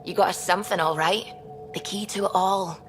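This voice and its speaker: A woman playing a clever, brisk scientist in a space adventure game, informal and matter-of-fact with a wry edge. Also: mid-range voice, steady tone, over music, natural voice. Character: brisk, clever female scientist crew member. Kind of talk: video game cutscene acting.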